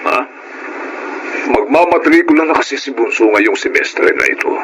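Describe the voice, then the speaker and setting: A man's voice: mid-range voice, lively intonation, moderate pace, noisy background, close to an everyday voice. A man playing a pushy, entitled older Filipino uncle who wheedles and blusters in a radio drama. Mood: eager. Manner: wheedling, blustering, peppered with interjections.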